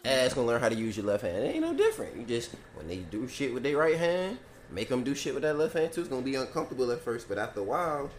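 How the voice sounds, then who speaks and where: A man co-hosting a podcast in a relaxed, joking casual chat, laughing often and swearing freely. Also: mid-range voice, fast talker, soft background music. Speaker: podcast co-host.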